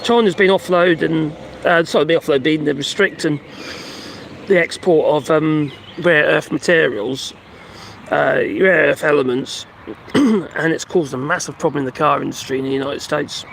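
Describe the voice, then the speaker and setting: A man hosting a casual live stream, reading news aloud and commenting in a rambling, halting way, his voice going hoarse. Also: on the high side, fairly bright tone, a little hiss or hum. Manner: rambling, halting, many fillers and restarts; talks to his pets between remarks. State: losing his voice. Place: at home with animals nearby.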